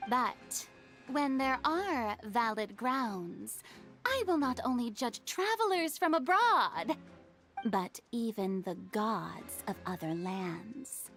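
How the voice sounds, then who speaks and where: A showy young goddess of justice from a video game. The voice is high and sweeps dramatically up and down with haughty grandeur, over background music. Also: female voice, expressive, takes it slowly, fairly bright tone. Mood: amused, grandiose. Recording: music under the voice.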